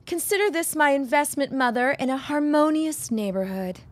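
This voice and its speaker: A woman's voice: high-pitched, expressive, normal speed. A woman playing a poised, guarded bride-to-be in a period audio drama, speaking formally with dry wit.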